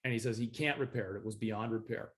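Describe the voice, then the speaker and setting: A man's voice: fairly low pitch, fast, a bit bright. Matter-of-fact man in his fifties. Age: 50s. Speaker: guest. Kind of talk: casual interview chat.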